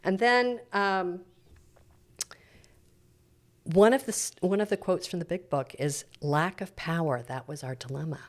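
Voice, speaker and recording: A woman's voice: lowish voice, measured pace, long pauses. A middle-aged woman speaking as a church preacher in an interview, explaining things in an earnest, reflective, teacherly way.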